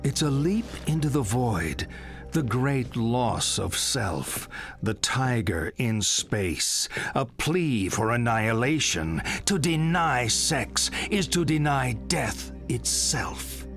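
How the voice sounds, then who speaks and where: A man's voice: mid-range voice, sing-song, slow pace, fairly bright tone, full character voice. An actor playing a provocative, impatient male psychiatrist in a video game, theatrical and pointed, asking rhetorical questions. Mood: brooding, seductive. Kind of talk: dramatic video game acting. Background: music.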